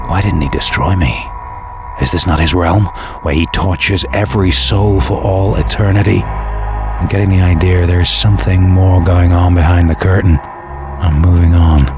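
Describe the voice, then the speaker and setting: An actor playing a cynical, defiant dead killer trapped in hell, narrating a video game in a brooding, sardonic deadpan. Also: male voice, deep voice, measured pace. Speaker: protagonist and narrator. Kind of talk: dramatic scripted game narration. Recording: music behind the voice.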